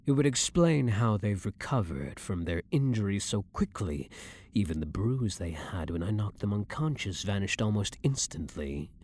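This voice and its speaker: A man playing a blunt, dominant, brooding vampire hunter who is secretly a vampire, in a husky voice with measured, formal, theatrical phrasing for an ASMR roleplay. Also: fairly low pitch, darkish tone, exaggerated voice. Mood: shaken, earnest. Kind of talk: ASMR roleplay audio drama acting.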